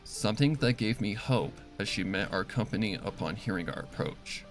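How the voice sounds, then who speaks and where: A man reading a dark first-person story as scripted dramatic narration, serious and deliberate. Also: fairly low pitch, lively intonation, soft background music. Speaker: fan fiction reader.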